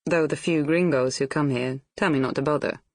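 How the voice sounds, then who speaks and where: An actress playing an older Brazilian mother in an audiobook, intimate and deliberate, wistful with quiet reproach. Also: sits low, no echo, clean studio sound. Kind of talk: audiobook narration in character.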